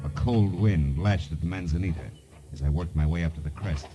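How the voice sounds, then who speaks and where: An actor playing a wry, cool American private detective, clipped and deadpan, in a 1950s noir radio drama. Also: male voice, a bass voice, a little fast, over music. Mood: grim narration. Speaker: lead detective and narrator.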